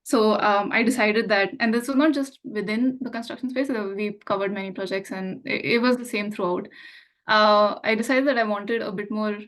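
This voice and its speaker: A woman's voice: medium pitch, steady tone, normal speed. A young adult woman from India, a finance professional, speaking as a podcast guest in an earnest, reflective way with frequent fillers. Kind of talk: casual interview chat.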